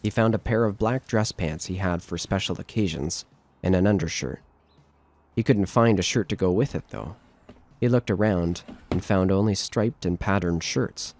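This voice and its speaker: Very deep male horror narrator. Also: normal speed, a little mellow. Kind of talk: scripted horror story narration.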